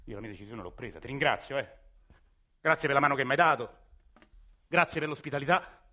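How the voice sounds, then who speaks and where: A man, narrating and acting his own story in a radio theatre monologue, soft-spoken and hesitant. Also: medium pitch, animated, fast talker. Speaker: narrator and lead. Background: music.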